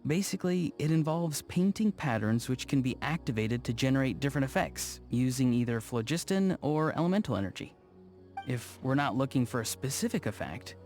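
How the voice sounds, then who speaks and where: A man voicing an awkward, earnest young video game character, speaking in a low-key, hesitant way. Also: a little mellow, lightly acted. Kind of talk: scripted video game dialogue. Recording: music behind the voice. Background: faint background music.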